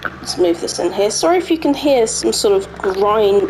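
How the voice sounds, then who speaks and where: A woman hosting a farming game let's-play in casual running commentary, her voice a little croaky, chatting to herself as she works. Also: medium pitch, animated, normal speed, background noise. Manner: chatty, talks to herself while playing. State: slightly croaky voice. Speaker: gaming channel host. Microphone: home desk microphone.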